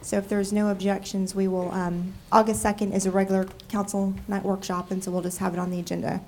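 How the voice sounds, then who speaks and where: A woman with a cold, nasal and froggy, presenting a city budget to council in a measured way with frequent ums. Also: medium pitch, little pitch movement, warm tone, slight background noise. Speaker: city deputy budget director. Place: council chamber.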